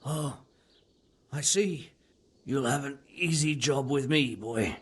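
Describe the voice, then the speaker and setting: An actor playing a resigned, wry captured bandit in a video game, speaking in a rough, colloquial way. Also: male voice, medium pitch, takes it slowly. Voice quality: gravelly, husky. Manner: rough colloquial speech with ain't and lad. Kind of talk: dramatic video game dialogue.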